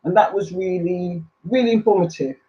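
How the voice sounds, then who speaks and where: A woman's voice: fairly low pitch, normal speed. A woman giving an enthusiastic, semi-formal presentation as a community group speaker on an online call. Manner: enthusiastic, emotive. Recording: dull lo-fi online call audio.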